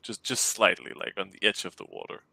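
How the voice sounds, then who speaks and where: A man's voice: on the low side, a little fast, vocal fry, pushed and bright, dry recording, rough recording. A man with a Danish accent chatting over a call, hesitant and giggly, often restarting his sentences. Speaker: client commissioning a map. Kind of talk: casual chat.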